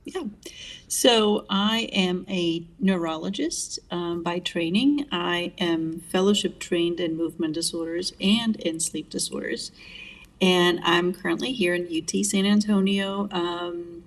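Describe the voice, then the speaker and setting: A woman's voice: fairly low pitch, unhurried. Woman, a little far from the mic. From Greece. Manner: friendly, hesitant with fillers. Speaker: neurologist guest. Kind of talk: interview answers.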